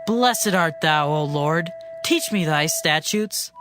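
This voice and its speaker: A man with a notably high voice performs a dramatized scripture reading. Music plays clearly underneath. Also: animated, slow. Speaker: scripture reader. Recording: reading over a music bed.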